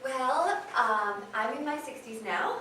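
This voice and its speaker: A woman's voice: high-pitched, expressive, slow pace, sharp tone, heavily acted. Woman as candid older mother, echoey hall. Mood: chatty, amused. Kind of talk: conversational staged reading, interview-like storytelling. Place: live staged reading in a large room. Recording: echoey, noisy hall from far off.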